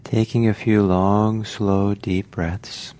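A man leading a guided meditation as a teacher, speaking gently in short phrases with long pauses. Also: on the low side, little pitch movement. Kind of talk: guided meditation, slow spoken instructions. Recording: faint background noise.

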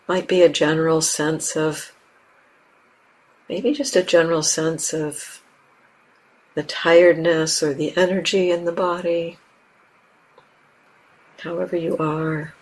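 Slow-speaking woman guiding meditation. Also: low-pitched, flat delivery, husky, low-quality recording. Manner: gentle, unhurried, with long pauses between short phrases. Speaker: meditation teacher. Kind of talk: guided meditation, unscripted instruction.

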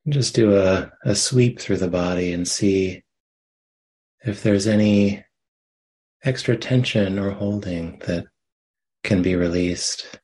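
Male meditation teacher, very unhurried delivery, husky low register, gentle phrasing. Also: low-quality recording. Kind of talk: guided meditation, slow soft instruction.

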